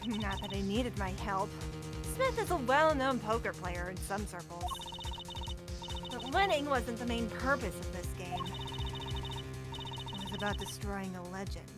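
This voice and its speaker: A woman's voice: medium pitch, lively intonation, measured pace, long pauses, natural voice. A woman voicing a sly female card dealer who puts on a fake Russian accent, drawling smugly in a fan dub read-through, with music underneath. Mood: scheming. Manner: smug, drawling confession.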